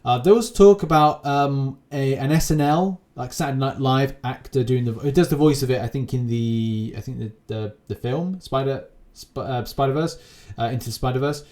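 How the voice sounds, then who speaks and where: A man's voice: medium pitch, moderate pace, few pauses, darkish tone. Male gaming channel host, informal and unscripted, talking in loose, repetitive, rambling commentary.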